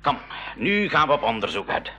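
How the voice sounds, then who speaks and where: An actor playing an adventurous, commanding but cautious professor uncle in a school radio play. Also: male voice, medium pitch, big pitch swings, moderate pace, pushed and bright, muffled recording, exaggerated voice. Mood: decisive, cautious.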